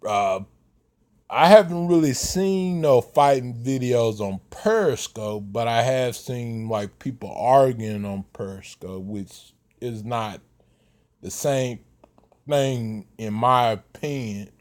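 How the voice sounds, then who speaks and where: A man, giving casual commentary in a slow, halting way with many fillers. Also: medium pitch, darkish tone. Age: 30s.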